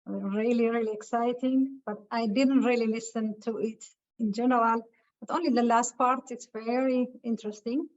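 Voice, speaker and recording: A woman from Ethiopia giving a webinar talk over a video call, speaking haltingly with many filler sounds. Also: fairly high pitch, fairly even intonation, darkish tone. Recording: muffled lo-fi call audio.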